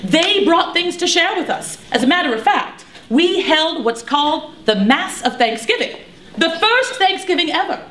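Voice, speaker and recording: A woman's voice: very high voice, big pitch swings, moderate pace, fairly bright tone, roomy sound, exaggerated voice. A woman playing a proud Spanish colonial teacher who lectures a crowd in a live history reenactment. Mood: defensive. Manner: theatrical, bossy, addressing a crowd.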